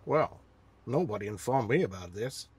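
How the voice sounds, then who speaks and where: An actor playing a studio gate guard in an audio drama, an accommodating man who is easily cowed, with a husky voice. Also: mid-range voice, expressive, moderate pace, a little mellow, dry recording. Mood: polite, doubtful.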